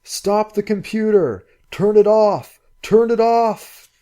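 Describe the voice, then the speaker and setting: A man voicing a desperate, angry young man who shouts and bellows. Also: fairly low pitch, measured pace. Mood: shouting. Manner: shouting across surf.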